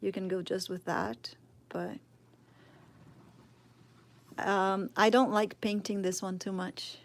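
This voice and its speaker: Slow-talking woman with Brazilian accent. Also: medium pitch, little pitch movement. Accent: Brazilian Portuguese. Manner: slow, thinking aloud, drops to murmurs while working. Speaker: sculpting teacher streaming live. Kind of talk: casual live tutorial, answering chat.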